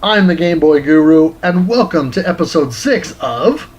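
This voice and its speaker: A man's voice: a little high, animated, moderate pace, breathy edge. A man hosting a show, reading a scripted video game review as narration.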